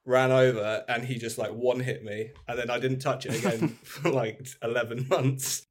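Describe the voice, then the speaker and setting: A man's voice: medium pitch, fairly even intonation, normal speed. A man talking casually and informally as a podcast guest, in a loose anecdotal style with salty language. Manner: informal, sweary, quotes himself.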